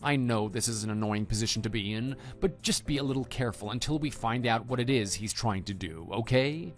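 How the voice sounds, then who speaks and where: A man playing a calm, matter-of-fact husband and former teacher in a scripted online radio drama. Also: mid-range voice, close to an everyday voice. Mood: calm, reassuring. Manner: measured, matter-of-fact, quietly dry. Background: background music.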